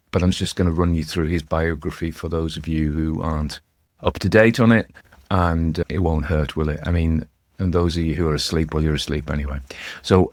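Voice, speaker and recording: Guy, really low voice, chatty host rambling about books and wandering into little asides. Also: dry recording, studio quality. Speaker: host and narrator of a ghost story show. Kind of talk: casual literary commentary and storytelling.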